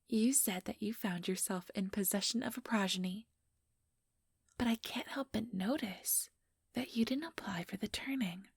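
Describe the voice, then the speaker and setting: Woman acting a breathy, slow-drawling ambitious vampire. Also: mid-range voice, no room sound, studio quality, heavily acted. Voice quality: breathy, whispery. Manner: sugary, mocking, drawling condescension. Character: ambitious, teasing female vampire, smugly scheming. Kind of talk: ASMR audio roleplay.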